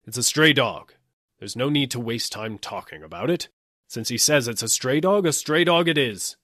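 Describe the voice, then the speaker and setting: A self-important police superintendent played by a male reader blusters through a storybook tale. He is stern and bossy, with a very animated, swooping delivery, recorded close and dry. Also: medium pitch, normal speed, no echo, pitched above the performer's own voice. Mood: bossy, dismissive. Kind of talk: audiobook character acting.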